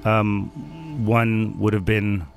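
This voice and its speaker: Low-voiced thoughtful man from Denmark. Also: faint music behind. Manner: thoughtful, many pauses and fillers. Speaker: guest. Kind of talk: reflective interview answers.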